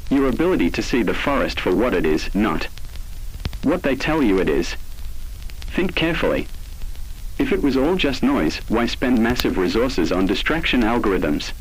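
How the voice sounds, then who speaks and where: A man reading scripted analog horror narration in an ominous, deliberate manner, speaking straight to the listener. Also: on the low side, lively intonation, breathy edge, poor audio. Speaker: narrator of an online horror essay.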